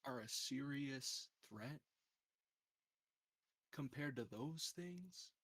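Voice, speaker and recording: A man's voice: medium pitch, lively intonation, very slow, a bit bright, no room sound. A man playing a tabletop roleplaying game, wisecracking in character. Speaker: player.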